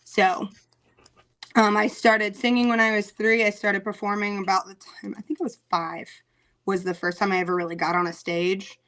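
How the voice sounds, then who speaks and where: A woman singer talking casually in an interview, chatty, laughing often, with many "um" pauses. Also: medium pitch, flat delivery, fairly bright tone.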